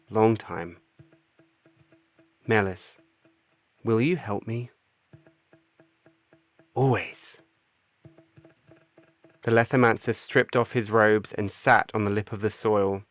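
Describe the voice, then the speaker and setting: Male author-narrator, lower register, solemn and deliberate delivery of literary fiction, faint music bed. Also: fairly even intonation, dry recording. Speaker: author reading own short story.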